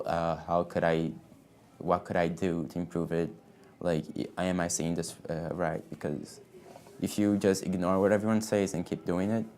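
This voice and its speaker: A young man from Brazil, a student, reflecting on learning in halting speech with many restarts. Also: low voice, darkish tone. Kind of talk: reflective interview answer.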